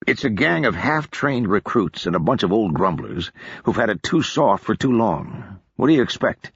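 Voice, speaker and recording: A tired, grim senior captain, read by a male narrator in his own low voice. He answers flatly and matter-of-factly, with a husky edge. The recording is dry and a little dull. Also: normal speed, no room sound, exaggerated voice. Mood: weary grim. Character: weary, level-headed male senior captain.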